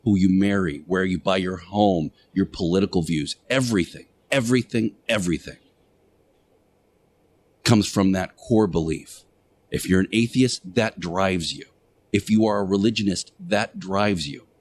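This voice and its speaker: A man hosting a radio show, preaching in a deliberate monologue with emphatic short phrases, pauses and repetition. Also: sits low, darkish tone, a little hiss or hum. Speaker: radio show host playing Jesus in interactive radio theater.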